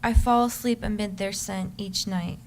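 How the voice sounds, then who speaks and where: A woman reading aloud as an adoring, yearning wife, ardent and measured in a staged love-letter reading, sometimes stumbling. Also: mid-range voice, steady tone, a little hiss or hum, natural voice. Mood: tender, grateful. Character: yearning, adoring pregnant wife writing to her husband.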